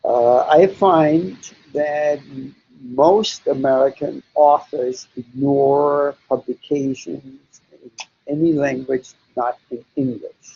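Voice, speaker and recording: An older man, a native German speaker, answering interview questions slowly and deliberately, with frequent hesitations. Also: medium pitch, steady tone, gravelly, husky. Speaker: interviewee psychologist. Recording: dull lo-fi recording.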